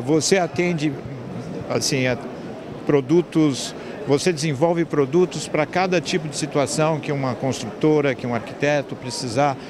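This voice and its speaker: A Brazilian man in his fifties interviewing a guest on location for television. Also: medium pitch. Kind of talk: on-location trade show interview. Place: open stand in a large indoor exhibition hall. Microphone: handheld reporter microphone. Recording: noisy exhibition hall ambience. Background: clear hall noise.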